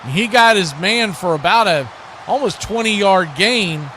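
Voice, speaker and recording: A man's voice: high voice, a little slow. An American man doing live football play-by-play, giving clipped present-tense calls mixed with chatty asides. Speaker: play-by-play sports commentator. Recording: clear steady background noise.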